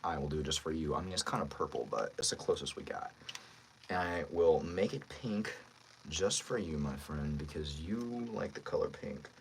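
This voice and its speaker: A man's voice: very deep voice, normal speed, gravelly, a little hiss or hum. A man chatting casually and friendly with viewers as a voice actor on a livestream, thinking aloud as he goes.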